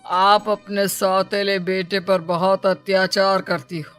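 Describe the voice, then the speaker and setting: A woman narrator voices a police inspector in an audio family drama. The delivery is stern and official, slow and plain, with soft music behind it. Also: medium pitch, flat delivery, clear, resonant tone.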